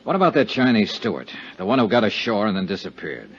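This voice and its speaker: An actor playing a shrewd, hard-boiled insurance investigator in a 1950s American radio drama, speaking in terse, clipped lines. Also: male voice, on the low side, big pitch swings, fast talker. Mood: pressing, coaxing. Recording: muffled 1950s radio recording.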